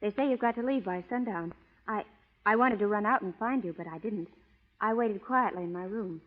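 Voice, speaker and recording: A woman plays a young frontier bride, devoted, principled and anxious. Her medium-pitched delivery is earnest and emotional, in the manner of radio melodrama. The recording is muffled, with a reverberant hall sound. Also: brisk, natural voice. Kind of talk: 1940s radio melodrama acting.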